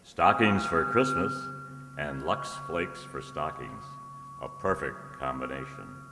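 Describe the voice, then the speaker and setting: An extremely low male voice delivers formal, measured radio announcements and narration in 1940s style. It rings in an echoey hall with long reverb. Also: very animated, over music.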